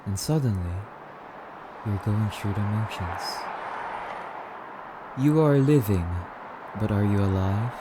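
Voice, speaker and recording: A courtly, theatrical male vampire performed by a man muses in a fairly low voice for an ASMR roleplay. He speaks formally and very slowly, stretching out his phrases with suave charm. Steady noise is clearly heard behind him.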